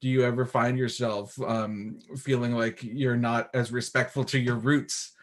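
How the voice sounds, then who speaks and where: A man's voice: medium pitch, level tone, a little slow. A man with an ordinary mid-range voice interviews a guest. He talks casually, circling around his questions before landing on them. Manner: casual and warm, rambling questions.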